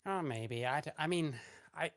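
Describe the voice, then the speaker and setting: A young British man, a podcast guest, talking in a hoarse, laid-back and hesitant way, tired late at night. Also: on the high side, moderate pace, dry recording, studio quality. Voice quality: hoarse, losing voice. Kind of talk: casual chat.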